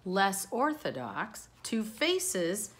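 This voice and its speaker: A woman reading a picture book aloud with expressive, dramatic emphasis, lingering on words and adding casual asides. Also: mid-range voice, drawn-out words. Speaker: read-aloud storyteller.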